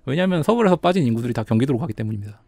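A man's voice: medium pitch, lively intonation, racing, vocal fry, dark tone, no echo, studio quality. A man appearing as a data analyst guest on a podcast, explaining carefully and hedging his claims.

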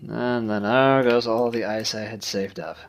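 A man's voice: lowish voice, fairly even intonation, unhurried, vocal fry. A young man hosting a gameplay video, chatting casually with playful exasperation. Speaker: gaming video host. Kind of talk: casual gameplay commentary and banter.

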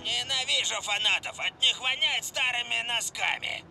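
A man's voice: very high voice, moderate pace, over music. A man voicing a vain, theatrical young star footballer in a cartoon dub, pompous and full of himself. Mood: disdainful. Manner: pompous, self-admiring. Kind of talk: cartoon dubbing.

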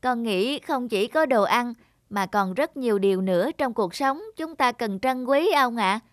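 A woman voicing a dutiful, anxious young male servant, deferential and earnest, in an animated folk tale. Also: on the high side, unhurried, dry recording, clean studio sound. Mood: caring, earnest.

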